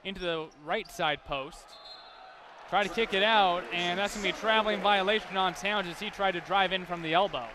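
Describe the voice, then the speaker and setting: High-pitched American man calling basketball. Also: a little fast. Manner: detailed, running description of the action. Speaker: play-by-play announcer. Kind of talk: live basketball play-by-play commentary. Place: courtside in a large basketball arena. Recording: loud crowd and arena noise behind.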